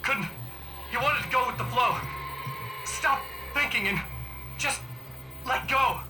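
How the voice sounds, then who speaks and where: A man's voice: very high-pitched, takes it slowly, heavy background noise. An actor playing a haunted male writer, narrating a game in a tense, brooding manner. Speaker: narrator and lead character. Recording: echoey game audio under loud music.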